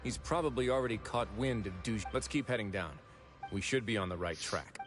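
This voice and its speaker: A man voicing a composed noble fortress warden who speaks in a calm, measured, authoritative way, in game audio with music behind. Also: fairly low pitch, natural voice.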